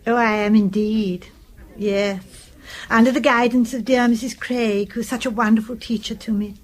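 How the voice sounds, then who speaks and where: An actress playing an earnest, gullible British spinster nurse-companion in a radio drama, pious and gushing in manner. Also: female voice, fairly high pitch, fairly even intonation, moderate pace, natural voice. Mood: earnest, devout.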